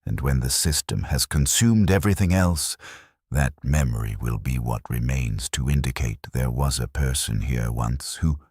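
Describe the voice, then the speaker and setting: Very deep, husky, detached soldier voiced by a man. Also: normal speed, darkish tone, dry recording, clean studio sound, full character voice. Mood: somber, reflective. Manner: clinical, detached, terse clipped sentences. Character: weary, resigned, detached soldier-clerk logging records on a toxic war world. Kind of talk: scripted first-person dramatic narration.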